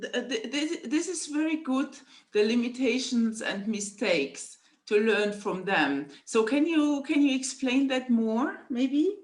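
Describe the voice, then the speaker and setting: A woman's voice: on the high side, measured pace, hardly any pauses, reverberant. A woman hosting a webinar, moderating participants with brief encouraging affirmations. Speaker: webinar host and facilitator. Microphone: video call.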